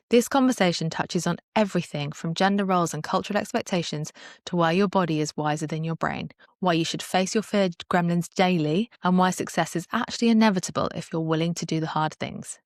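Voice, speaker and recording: A woman's voice: lowish voice, no room sound, clean studio sound. A woman hosting a podcast, reading a polished, enthusiastic introduction and then welcoming her guest. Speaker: podcast host, leadership coach.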